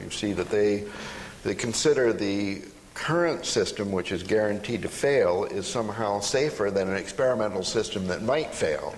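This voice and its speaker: A man speaking on a panel in an informal public discussion, with a halting, reflective and wry manner. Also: on the low side. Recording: noisy, long hall reverb, live event.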